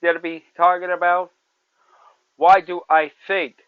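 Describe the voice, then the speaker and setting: A man's voice: high voice, flat delivery, unhurried, clean tone, dry recording, phone-quality sound. A man in his twenties hosting a solo podcast, rambling and repeating himself with many fillers. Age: 20s. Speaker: podcast host. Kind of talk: solo movie review podcast.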